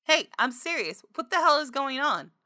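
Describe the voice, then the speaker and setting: A woman's voice: high-pitched, big pitch swings, normal speed, bright, forceful tone, pitched above the performer's own voice, full character voice. A woman voicing a nervous, flustered young restaurant server in a visual novel game, hesitant in manner. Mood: exasperated. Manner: stammering, hesitant.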